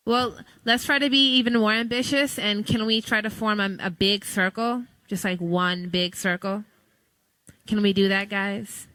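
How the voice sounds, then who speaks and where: A woman's voice: medium pitch, steady tone, unhurried, pushed and bright, dry recording, low-quality recording. Woman herding a big conference crowd into a circle, casual and upbeat, dry close sound. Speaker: youth session leader. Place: large conference hall.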